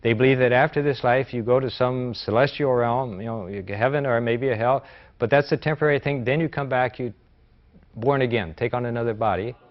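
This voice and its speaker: An American man gives an informal interview explanation in a mid-pitched voice. He is plain-spoken and conversational, and the recording is slightly dull. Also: brisk. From United States. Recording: slightly muffled interview audio.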